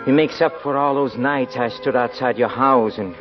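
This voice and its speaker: An actor playing an intense, scheming young officer in love, halting and melodramatic, in a 1940s radio drama. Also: male voice, medium pitch, natural voice. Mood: ardent. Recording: muffled vintage 1940s radio recording. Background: music.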